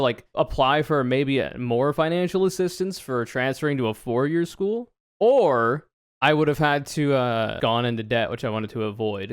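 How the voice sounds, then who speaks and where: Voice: medium pitch, smooth, no room sound. Dry-sounding young streamer, man's voice. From United States. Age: 20s. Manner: joking, sarcastic, rambling asides to chat. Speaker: livestreamer. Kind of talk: casual livestream chat, reading questions aloud and answering.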